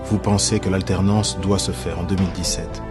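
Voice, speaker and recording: Deep-voiced man over loud music. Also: steady tone, a little fast. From DR Congo. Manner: declamatory and solemn. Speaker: campaign ad narrator. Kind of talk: political campaign voice-over.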